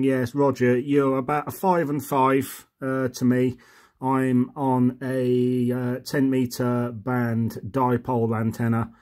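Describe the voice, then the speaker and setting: A British man works as an amateur radio operator and host. His voice is mid-pitched and matter-of-fact, moving between casual commentary and formal radio call-outs. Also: a little mellow. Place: indoors at a desk radio set.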